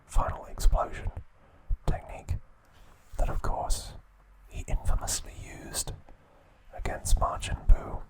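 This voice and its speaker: Guy whispering asmr trivia real slow, fixes his own slips. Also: poor audio. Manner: slow soft whisper, occasional self-corrections. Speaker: ASMR host.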